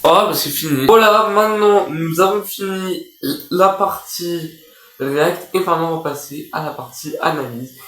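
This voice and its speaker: A man's voice: a little high, normal speed, sharp tone, a little hiss or hum. Echoey, casual man doing slangy commentary. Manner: slangy, informal. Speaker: video host, reactor and analyst. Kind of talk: casual reaction and analysis commentary. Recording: echoey room, music behind. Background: music.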